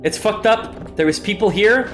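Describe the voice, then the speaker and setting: A man's voice: high-pitched, normal speed, soft background music. A young man with a stuffy nose, tired and self-deprecating, rambling through casual commentary on a live game stream.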